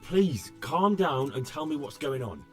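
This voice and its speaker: An actor playing an earnest, plain-spoken young errand runner in a medieval video game, direct and questioning. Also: male voice, fairly high pitch, big pitch swings, measured pace, fairly bright tone, faint music behind. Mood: eager, concerned. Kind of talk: video game cutscene acting.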